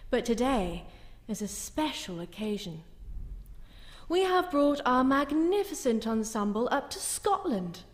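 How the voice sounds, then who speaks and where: A woman playing a bewitching horror hostess, narrating a live radio show in a grandiose, gushing, theatrical way. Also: a little high. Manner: grandiose, gushing, relishing the gruesome. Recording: live in echoey hall, long reverb.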